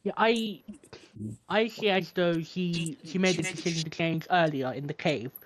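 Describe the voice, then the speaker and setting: A man's voice: a little high, normal speed, a little hiss or hum. A man co-hosting a film podcast, chatting earnestly and hesitantly with fillers. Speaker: podcast co-host. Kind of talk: casual film review chat.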